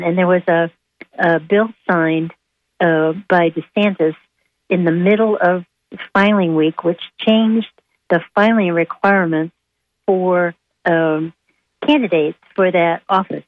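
A woman calling in to a radio show over the phone, speaking off the cuff in a halting, hesitant way. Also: on the low side. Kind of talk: unscripted call-in comment. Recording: tinny narrow phone line.

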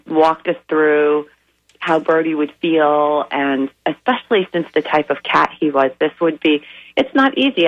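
A hoarse woman with a raspy, slightly crackly voice, telling a story earnestly with many pauses as a radio guest. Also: low-pitched, no room sound, highs cut off. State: hoarse from a weekend of events. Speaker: guest, animal charity founder. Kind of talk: radio interview.

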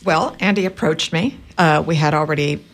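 Low-pitched woman in her sixties. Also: normal speed. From Cuba and Miami. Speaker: professor and co-author guest. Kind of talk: conversational interview answers.

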